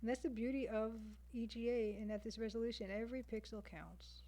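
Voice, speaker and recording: A woman's voice: fairly high pitch, fairly even intonation, a little fast, dark tone, rough recording. A woman with a Canadian accent chats casually to stream viewers, thinking aloud as she draws. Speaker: livestreamer, game artist. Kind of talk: casual chat with stream viewers while drawing.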